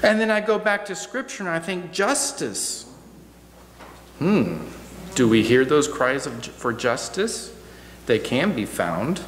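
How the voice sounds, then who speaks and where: A middle-aged American man giving a reflective sermon as guest preacher, measured, with deliberate pauses and repeated phrases, at a church pulpit microphone. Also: a little high, very animated. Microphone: gooseneck pulpit microphone. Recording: echoey hall, noticeable hum.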